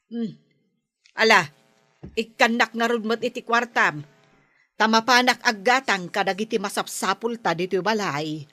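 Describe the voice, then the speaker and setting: A nagging thrifty ilocano wife, sharp and highish, scolding in a dry close radio drama sound. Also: female voice, a little slow, pauses often, dry recording. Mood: exasperated, scolding. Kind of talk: Ilocano radio comedy acting.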